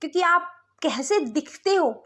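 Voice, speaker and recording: A woman with an Indian accent and a high-pitched voice delivers a lesson to students. She explains point by point as a teacher does, moving between Hindi and English. She often stops briefly to check that her listeners are following. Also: lively intonation, unhurried, fairly bright tone.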